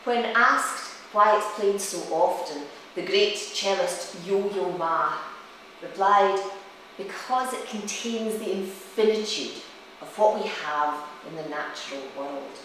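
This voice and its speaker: A woman presents a music lecture in a reflective, storytelling way, explaining as she goes. She is recorded at some distance from the microphone in a highly reverberant room. A faint layer of noise sits under her medium-pitched voice. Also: takes it slowly, fairly bright tone. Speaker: presenter, cellist and music teacher. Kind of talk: spoken lecture-demonstration on music.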